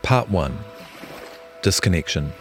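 A man's voice: low voice, animated, slow, soft background music. A man with a New Zealand accent, speaking as a journalist.

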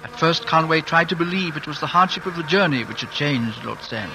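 A man giving steady, somber scripted narration for a 1940s radio drama. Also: on the high side, fairly quick, heavy background noise. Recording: old radio broadcast with music underscore.

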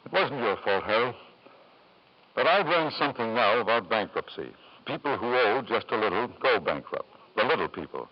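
A man's voice: lowish voice, big pitch swings, normal speed. An actor playing an ambitious, scheming father in 1950s radio drama, persuasive and theatrical, on an old broadcast recording. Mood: troubled, resolute. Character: ambitious, scheming father, smooth-talking and desperately gambling. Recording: dull lo-fi vintage radio broadcast.

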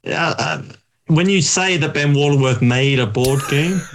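A man's voice: a little high, moderate pace, creaky, a little hiss or hum. A man co-hosting a podcast in casual, teasing banter. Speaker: podcast co-host. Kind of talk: casual chat.

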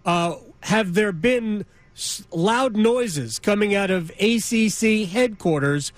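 Male sports talk host, high-pitched, emphatic, conversational, dry studio sound. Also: slow, dry recording. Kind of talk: casual sports radio conversation. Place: radio studio. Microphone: close studio microphone.

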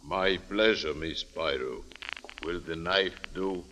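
A giant, obedient killer from a vintage radio thriller. His voice is deep, sharp and forceful, full of cold menace. It rings in a long reverb. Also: slow, slight background noise, heavily acted. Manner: servile to his mistress, menacing. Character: huge, menacing male henchman, coldly obedient killer. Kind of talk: 1940s-50s radio drama acting. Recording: echoey old radio recording, music behind.

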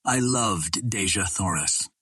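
Male narrator for classic adventure fiction: lowish, slightly rough voice, measured and earnest, recorded dry. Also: lively intonation, bright, forceful tone, dry recording. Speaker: audiobook reader. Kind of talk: scripted first-person narration of an adventure novel.

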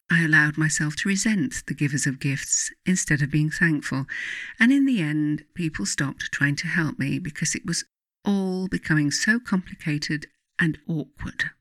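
Female narrator for reflective audiobook and guided meditation; measured, deliberate, pausing often, very dry sound. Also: deep voice, a bit bright, no room sound. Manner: measured, deliberate phrasing with frequent pauses. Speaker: author reading own book, guided meditation narrator.

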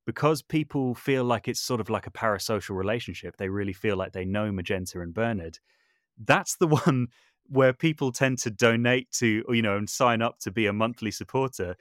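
A man's voice: on the low side, fairly quick, no echo, clean studio sound. A man talking casually as a podcast guest, explaining things in an informal, conversational way. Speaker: podcast guest, audio drama maker and voice artist. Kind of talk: casual interview talk, explaining.

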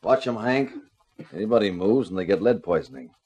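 An actor playing a menacing, gloating gangster in a 1950s radio crime drama, with a sneering delivery. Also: male voice, lowish voice, animated, fairly quick, darkish tone, breathy edge. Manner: sneering, threatening. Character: menacing, gloating male gangster nightclub owner.

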